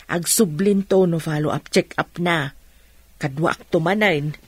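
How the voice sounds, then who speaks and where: An actress playing a caring, practical middle-aged mother in an Ilocano radio drama, with a husky voice. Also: sits low, measured pace, no room sound.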